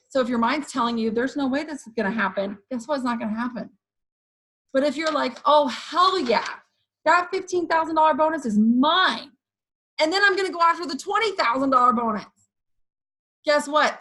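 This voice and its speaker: A woman with a hoarse voice giving an energetic motivational pep talk to her sales team, often checking in with "okay" and "you guys". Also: a little high, poor audio. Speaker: direct sales team leader.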